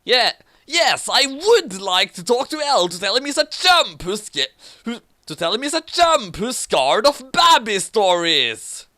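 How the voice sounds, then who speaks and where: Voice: medium pitch, sing-song, measured pace, hardly any pauses, sharp tone, no echo, clean studio sound, pitched above the performer's own voice. An amateur reader playing a petulant, boastful young anti-hero of a parody story, in a declamatory way. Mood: indignant.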